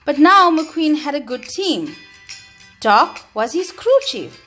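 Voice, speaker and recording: High, animated woman reading a children's storybook. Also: faint music behind. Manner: expressive, deliberate, emphatic phrasing for children. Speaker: storybook reader. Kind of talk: read-aloud children's storytelling.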